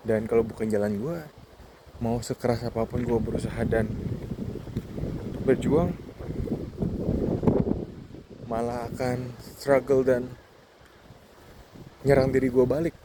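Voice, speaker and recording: A man in his twenties with an Indonesian accent gives a candid, casual, reflective monologue as a vlogger, often pausing and slipping in English phrases, while walking outdoors. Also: medium pitch, steady tone. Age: 20s. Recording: outdoor wind and ambient noise.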